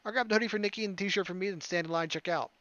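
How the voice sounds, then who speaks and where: Fast-talking man with a slightly high voice. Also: bright, forceful tone, no echo, dull audio. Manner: joking, offhand asides. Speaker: let's player reading a visual novel aloud. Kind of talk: gaming commentary mixed with reading narration aloud. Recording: music under the voice. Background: game soundtrack music.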